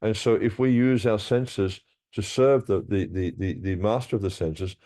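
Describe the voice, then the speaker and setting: Low, mellow-voiced man teaching a scripture class. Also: normal speed. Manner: hesitant, repeats words and restarts often. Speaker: speaker giving a scripture class. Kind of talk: scripture class, reading verses and explaining.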